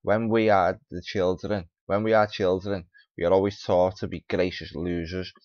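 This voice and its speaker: A man's voice: deep voice, little pitch movement, moderate pace, no room sound. A man hosting a video commentary, reading an article aloud with off-the-cuff remarks and stumbling over words. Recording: dull lo-fi mic, dry.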